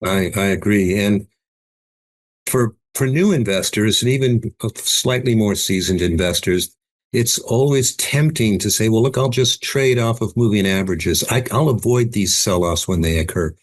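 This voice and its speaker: Middle-aged male investment guest, very deep voice, measured delivery, dry close-mic sound. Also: dry recording. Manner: measured, repeats words when starting. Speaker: chief investment officer, guest. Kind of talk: podcast interview answer.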